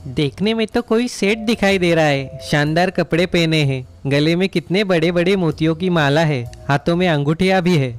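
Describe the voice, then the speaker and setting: A man's voice: fairly high pitch, moderate pace, hardly any pauses, soft background music, close to an everyday voice. A man playing a greedy, scheming young village idler in a moral story, watchful and gloating. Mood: eager, watchful. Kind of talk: acted moral story dialogue.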